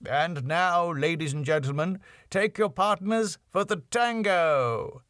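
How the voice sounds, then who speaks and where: A man's voice: high-pitched, slow, fairly bright tone, no echo, studio quality, voice pushed up. A man voicing a polite, formal ballroom master of ceremonies in a sitcom script. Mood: announcing. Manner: courteous, formal.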